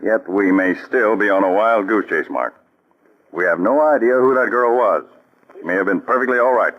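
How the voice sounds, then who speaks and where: An actor playing a calm, methodical male counterspy chief who gives crisp orders, in 1940s radio acting. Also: lowish voice, moderate pace, natural voice. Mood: steady, commanding. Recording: muffled old radio recording.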